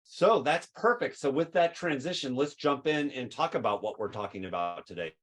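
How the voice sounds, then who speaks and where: A man's voice: mid-range voice, animated, moderate pace, fairly bright tone. Enthusiastic male webinar host. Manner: upbeat, enthusiastic, filler-heavy. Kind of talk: webinar hosting.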